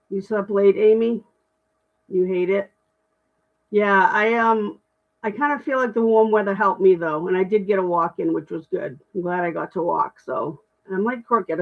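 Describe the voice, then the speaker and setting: Middle-aged woman with a deep voice, casual and candid livestream host, thin phone-quality audio. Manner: chatty, self-deprecating, candid. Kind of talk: casual livestream chat reading comments.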